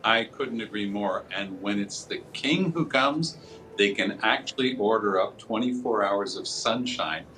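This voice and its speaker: Canadian guy doing tv political commentary, lowish voice, emphatic, bit of noise behind him. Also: measured pace, bright, forceful tone, rough recording. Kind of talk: live TV commentary.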